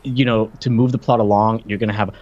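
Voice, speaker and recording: A man's voice: lowish voice, flat delivery, quick pace, darkish tone, highs cut off. A man co-hosting a podcast, commenting casually on film and repeating words as he forms his points. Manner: conversational, repeats words while forming points. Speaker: podcast co-host.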